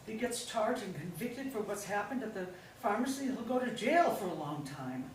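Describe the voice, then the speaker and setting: Woman acting pushy manipulative middle-aged wife, sweet-talking, swingy pitch, really echoey stage reading. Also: lively intonation, moderate pace, lightly acted. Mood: solemn, controlling. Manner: coaxing, pressuring, falsely sweet. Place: indoor staged reading, mic far off. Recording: very echoey room, distant mic, faint noise.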